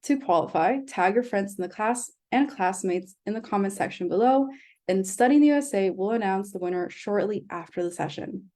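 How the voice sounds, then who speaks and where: A woman's voice: medium pitch, lively intonation, a little fast, mellow. A woman from El Salvador moderating a live online session, reading upbeat scripted introductions. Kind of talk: live webinar hosting.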